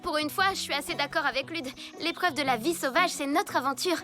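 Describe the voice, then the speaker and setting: A woman playing a worried little cartoon girl with a very high voice who quickly expects the worst. A clear musical score runs under her lines. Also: music in the background, close to an everyday voice. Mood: earnest. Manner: fretful and dramatic. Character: anxious young girl schoolmate. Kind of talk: French cartoon dub.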